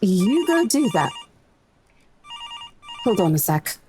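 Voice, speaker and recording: A woman's voice: mid-range voice, sing-song, slow pace, dark tone, slight background noise. A woman voicing a brash, teasing, worldly office mentor in a visual novel game. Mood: breezy, pushy. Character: brash, worldly female real-estate mentor, teasing and pushy.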